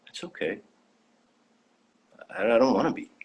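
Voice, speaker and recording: A man's voice: mid-range voice, expressive, unhurried. A young man playing a naive, idealistic alien youth in a dramatic reading, earnest and prone to stammering. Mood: innocent, puzzled. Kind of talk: dramatic fan fiction reading.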